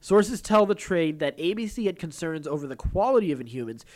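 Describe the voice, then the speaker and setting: Higher-pitched man, podcast host. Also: lively intonation, brisk, a little mellow. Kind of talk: news reading mixed with casual chat.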